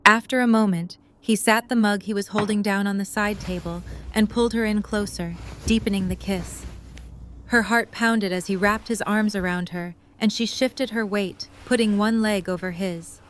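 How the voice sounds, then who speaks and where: A woman's voice: mid-range voice, fairly even intonation, normal speed, a little hiss or hum. A woman reading scripted third-person story narration as the narrator of an audio drama.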